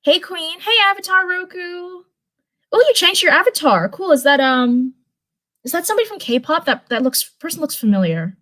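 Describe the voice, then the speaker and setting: A woman's voice: very high-pitched, lively intonation, normal speed, fairly bright tone. A woman reading fan fiction aloud on a live stream, stumbling over and repeating phrases and chatting casually in between. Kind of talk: live storytelling read-aloud with casual chat.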